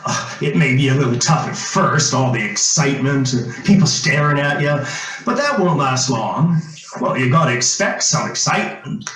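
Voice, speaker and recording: A man's voice: mid-range voice, animated, moderate pace, natural voice. A man acting an insistent, coaxing former small-town police chief in an old-time radio drama, stammering and hesitating as he talks. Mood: persuasive. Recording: roomy echo, music underneath.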